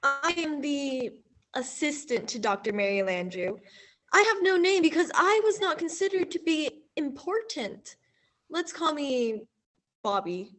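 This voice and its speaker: A woman's voice: sits high, very animated, measured pace, a bit bright, exaggerated voice. A young female student actor playing a nameless astronomer's assistant in a stage radio play, prim and full of dread. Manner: prim, correcting, doom-laden. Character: nameless female lab assistant, gloomy and ominous.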